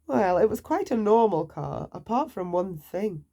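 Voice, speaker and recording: A woman narrating a children's bedtime story aloud, with deliberate, expressive phrasing for young listeners. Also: mid-range voice.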